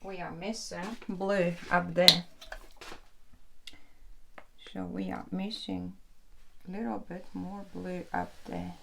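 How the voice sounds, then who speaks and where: A woman doing soft ASMR commentary while painting, unhurried and repeating her phrases soothingly. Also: lowish voice, level tone, vocal fry, noisy background. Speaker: ASMR host painting on camera.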